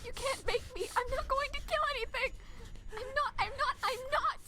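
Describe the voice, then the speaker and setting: A woman's voice: normal speed, sharp tone, dry recording. A woman voicing a small, defiant, tenderhearted young skeleton boy in a dramatic comic dub, pleading shrilly and stubbornly. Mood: frantic refusal. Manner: shrill, emphatic, repetitive pleading.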